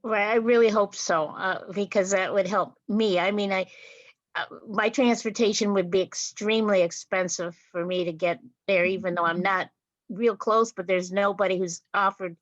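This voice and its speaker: A woman's voice: medium pitch, steady tone, moderate pace, no echo. Woman on a dull, dry video call. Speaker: club member in a meeting. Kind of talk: casual meeting discussion.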